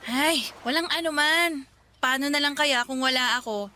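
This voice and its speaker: A woman's voice: high voice, moderate pace, close to an everyday voice. An actress playing Sonia, a talkative and bossy young woman, in a radio drama. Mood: scheming. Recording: music bed under dialogue.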